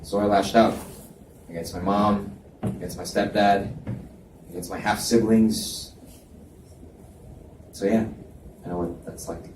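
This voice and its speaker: A man reads a gentle young man who speaks softly and plainly. The voice sits low and warm. It comes from a distance in an echoing library room with faint hum. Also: steady tone, a little slow, long pauses, natural voice. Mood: confiding. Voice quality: soft, low-key delivery. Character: gentle young man opening up, soft-spoken. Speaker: reader voicing male lead. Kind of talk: live romance reading.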